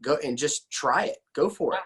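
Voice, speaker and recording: A man's voice: fairly high pitch, normal speed, a bit bright, husky. A man hosting a casual interview in an encouraging, enthusiastic, rambling conversational way, often repeating his words. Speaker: interview host.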